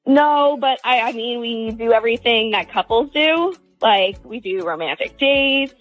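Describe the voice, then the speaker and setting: A woman's voice: fairly high pitch, measured pace, highs cut off, faint music behind. A woman calling a radio show, talking casually and candidly in a hesitant, wordy way. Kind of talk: casual, candid relationship talk.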